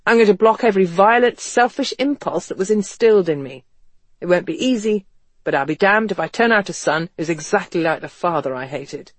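Man voicing a wry, high-pitched English gentleman. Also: animated, a little fast, dry recording. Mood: fierce resolve. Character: wry upper-class Englishman, an impassioned period-novel gentleman. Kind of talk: audiobook character dialogue.

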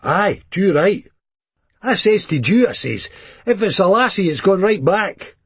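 A man's voice: sits high, lively intonation, measured pace, slightly rough, no room sound, pitched above the performer's own voice, heavily acted. A man with a Scottish accent voicing an aggressive, volatile young Scottish hard man who snaps and swears. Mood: blustering. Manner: snapping, swearing, combative.

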